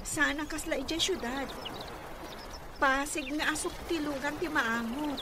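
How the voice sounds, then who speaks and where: Woman acting young visiting niece, cheerful, courteous, very high voice, radio comedy, noisy ambience. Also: unhurried. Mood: delighted.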